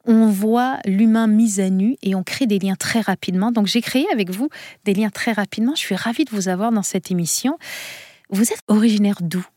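A woman's voice: mid-range voice, brisk, darkish tone, no room sound. Polite woman radio host, dry sound. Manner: polite, complimentary, guiding questions. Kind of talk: radio interview.

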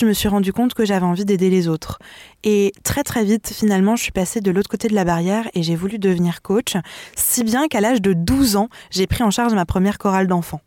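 Expressive French-speaking woman vocal coach. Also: mid-range voice, no echo, clean studio sound. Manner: expressive, laughs easily, frequent restarts. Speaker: vocal mentor and singer. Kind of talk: radio interview guest.

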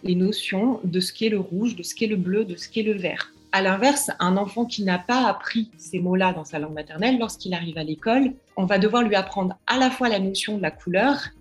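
A woman's voice: medium pitch, fairly quick, faint music behind. A woman speaking as a child language expert, explaining with earnest, patient advice and frequent hesitations. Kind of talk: advice interview.

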